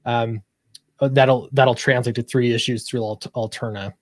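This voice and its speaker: A man's voice: mid-range voice, fairly even intonation, moderate pace, no echo. A man chatting casually as an interview guest, upbeat but a little hesitant. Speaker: guest comic book creator.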